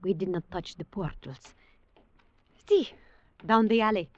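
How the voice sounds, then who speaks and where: A woman's voice: mid-range voice, unhurried, darkish tone, no echo, close to an everyday voice. An actress playing a passionate, fearless fugitive woman in a 1940s radio drama, speaking in an imperious, ornate way. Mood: hushed, guiding. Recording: dull vintage radio recording with organ music.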